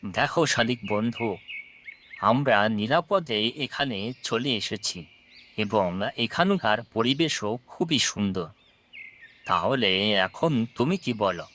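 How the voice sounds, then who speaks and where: A man's voice: medium pitch, animated, slow, a bit bright. A man voicing an earnest, reassuring pigeon friend in a children's cartoon. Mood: pleased.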